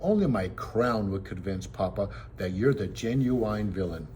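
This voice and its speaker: A man reads a boy putting on princely airs in a children's story. He is cool, haughty and scornful, speaking a little above the reader's low natural pitch, with faint noise on the recording. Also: measured pace, darkish tone, lightly acted. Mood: scornful, impatient. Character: clever boy posing as a haughty, impatient prince.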